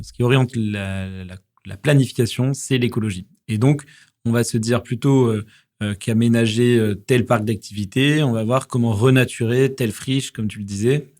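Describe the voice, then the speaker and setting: A man's voice: mid-range voice, a little mellow. A man in his thirties, originally from southwest France, talking in a casual interview, full of hesitations and restarts. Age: 30s. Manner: hesitant, many fillers and restarts. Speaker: guest, company founder. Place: podcast studio.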